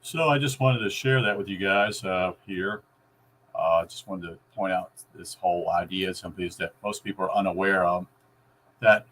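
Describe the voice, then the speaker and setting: Male explainer vlogger, low pitch, folksy and halting, casual delivery over faint noise. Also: a bit bright.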